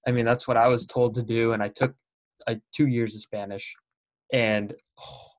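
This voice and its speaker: Young man interviewing, halting and friendly. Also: medium pitch, flat delivery. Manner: friendly, hesitant, repeats words. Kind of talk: casual interview.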